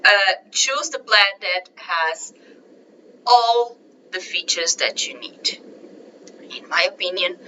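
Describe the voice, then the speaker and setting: Woman host, unhurried and halting, slightly hoarse, lower-pitched voice, dull lo-fi recording. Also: sharp tone, a little hiss or hum. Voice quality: clears throat now and then. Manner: halting, many ums and uhs. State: slightly hoarse from allergy, coughing. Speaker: host of a weekly online meeting for translators. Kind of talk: casual solo talk to camera. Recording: dull lo-fi sound.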